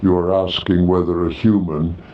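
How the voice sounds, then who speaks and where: A man voicing a grave alien officer of a registry in an audio story, speaking in terse, formal, measured phrases. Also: sits low, flat delivery, lo-fi sound, lightly acted. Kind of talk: scripted audio story character dialogue.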